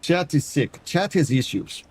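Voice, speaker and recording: A man's voice: a little high, sing-song, a little slow, a little mellow, husky. A Canadian man streaming a game, chatting to his viewers in a put-on foreign accent that drops articles. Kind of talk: casual gameplay chat with viewers.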